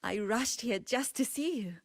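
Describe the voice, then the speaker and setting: An earnest, high-voiced young girl. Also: lively intonation, normal speed. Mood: earnest, pleading. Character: earnest young great-granddaughter pleading with a revered shrine maiden. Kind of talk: video game voice acting. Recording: game audio with music underneath.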